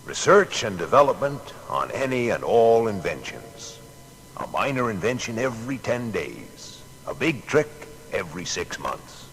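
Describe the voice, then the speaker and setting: A man's voice: lowish voice, very animated, a little slow, fairly bright tone, heavily acted. An actor playing a folksy, boastful American male inventor in a dramatised historical reminiscence, speaking plainly and colloquially. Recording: long hall reverb, background noise.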